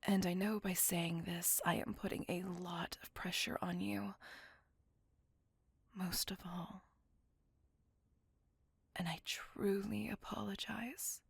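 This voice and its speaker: A woman playing a courteous, melancholy vampire on a date in an intimate ASMR roleplay, speaking softly and formally in a breathy, whispery voice, tender and wistful. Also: lowish voice, fairly even intonation, slow, pauses often, dry recording, heavily acted. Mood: wistful, yearning.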